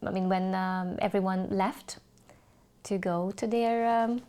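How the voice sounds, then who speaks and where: A Hungarian woman speaking English as a podcast guest, telling stories thoughtfully with many pauses and restarts. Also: mid-range voice, fairly even intonation, warm tone, clear, resonant tone. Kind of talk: casual interview chat.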